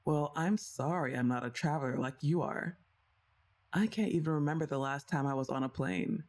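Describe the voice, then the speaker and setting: A young woman performing a scripted ASMR roleplay, talking straight to the listener in a teasing, playfully bossy way, with sighs and soft laughs between lines. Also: sits low, normal speed.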